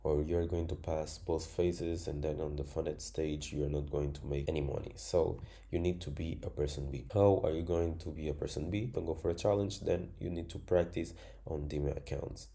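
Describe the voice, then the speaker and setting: A man's voice: very deep voice, fairly even intonation, moderate pace, creaky, dark tone. A man in his twenties, a native Spanish speaker from Cuba, earnestly explaining a trading system in plain, imperfect English. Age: 20s. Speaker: trading educator. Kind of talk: screen-share tutorial.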